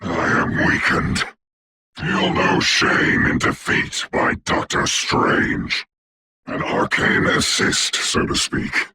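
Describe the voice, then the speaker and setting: A man playing a grave, commanding dark sorcerer for a video game, with a breathy rasp, formal grandiose diction and eerie distorted processing. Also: slow pace, very noisy. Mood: grim, imperious. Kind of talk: video game hero voice lines.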